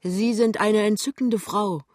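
A woman's voice: mid-range voice, fairly even intonation, measured pace, a little mellow, no room sound, studio quality, voice pushed up, natural voice. A woman voicing a tipsy, breezy, good-natured middle-aged man in an audiobook novel, rambling jovially.

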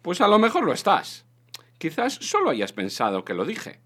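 A man playing a bewildered, doubting ordinary man lost in space in a comic science fiction audiobook, hesitant and questioning, with a husky voice. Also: high-pitched, wildly swooping pitch, moderate pace, fairly bright tone, full character voice. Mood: puzzled.

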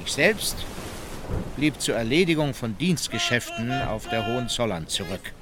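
Measured admiral over clear background noise, played by a man. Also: medium pitch, very animated, close to an everyday voice. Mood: factual, measured. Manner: measured, formal, factual. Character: formal naval cabinet chief recounting his diary notes. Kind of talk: documentary radio drama narration.

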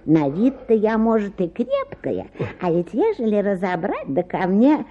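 A woman's voice: mid-range voice, big pitch swings, measured pace, muffled recording. An actress playing a whining, stubborn, middle-aged petitioner woman who pleads helplessness in a plaintive, folksy radio play manner. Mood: plaintive wheedling. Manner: plaintive, pestering, folksy old-fashioned speech. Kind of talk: theatrical radio play acting.

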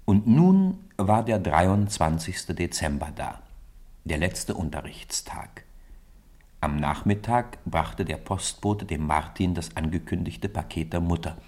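Deep voiced guy narrating a story for a radio play, scripted reading. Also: animated, a little slow, darkish tone.

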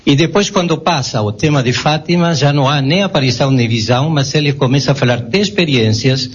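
A man's voice: a little high, fairly quick, slightly rough. A man speaking Portuguese as a non-native, asking a careful and perplexed question from the audience at a public debate. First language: not Portuguese. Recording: dull lo-fi recording.

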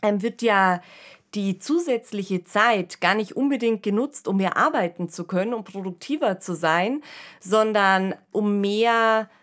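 A woman's voice: medium pitch, unhurried, fairly bright tone. A woman co-hosting a podcast, talking casually and analytically, often adding little tag questions. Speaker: podcast co-host. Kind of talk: casual discussion.

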